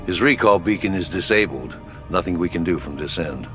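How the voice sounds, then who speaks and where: Hq ops officer in a game, wry, matter of fact mission briefing, music behind. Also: male voice, low-pitched, animated, a little fast. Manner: matter-of-fact, colloquial military. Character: brisk, wry male headquarters operations officer.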